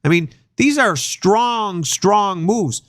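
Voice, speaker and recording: A man's voice: sits high, animated, slow, no echo, clean studio sound. A man hosting an investing show, congested from a sinus infection, rambling and often repeating words as he thinks aloud. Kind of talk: casual investing chat.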